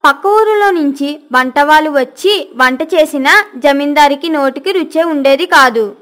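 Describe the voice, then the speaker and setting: A woman's voice: high-pitched, moderate pace, fairly bright tone. A woman narrating a scripted moral story for children.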